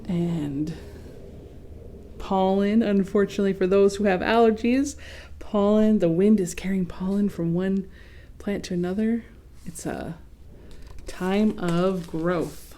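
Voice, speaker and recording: A woman reading a children's picture book aloud as host, expressive, with explanatory asides. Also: mid-range voice, takes it slowly, pauses often, slight background noise.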